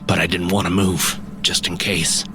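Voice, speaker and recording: An actor playing a shaken woodland tracker in a fantasy audio drama, telling of a monster in halting, dramatic phrases. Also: male voice, sits low, little pitch movement, noisy background, natural voice. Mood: haunted, awed. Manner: halting, dramatic storyteller, often pausing and repeating.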